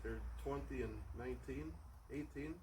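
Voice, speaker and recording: Relaxed man in his forties, dry humor. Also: medium pitch, moderate pace, slight background noise, low-quality recording. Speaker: parish volunteer cook. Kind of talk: casual chat.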